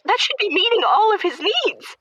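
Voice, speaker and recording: A woman acts out a manic, indignant baker wife in an improvised comedy phone-in. Her voice is very high and swings widely in pitch, with a bright edge. She is dramatic, aggrieved and fiercely possessive. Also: big pitch swings, moderate pace, dry recording, heavily acted. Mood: indignant, defensive. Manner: indignant, dramatic, prone to outbursts. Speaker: caller on a comedy call-in show.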